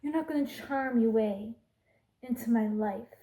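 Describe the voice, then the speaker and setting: Evil queen, acted by a woman, ASMR roleplay: scornful, commanding, slightly high yet soft-toned, drifting into whispers. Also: unhurried, reverberant. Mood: scornful, threatening. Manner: imperious, scornful, menacing, breaking into whispers. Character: cruel, haughty evil queen bullying a peasant.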